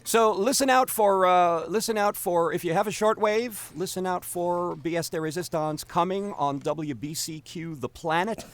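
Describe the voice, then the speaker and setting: A man's voice: sits high, normal speed, hardly any pauses. A man co-hosting a podcast in casual, rambling chat, often repeating his phrases. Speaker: podcast co-host.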